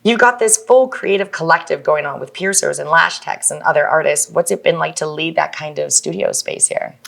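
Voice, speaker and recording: A woman's voice: sits low, normal speed, creaky, a bit bright. A woman with a Canadian accent hosting a casual interview, informal and affirming, using plenty of slangy interjections. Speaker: podcast host.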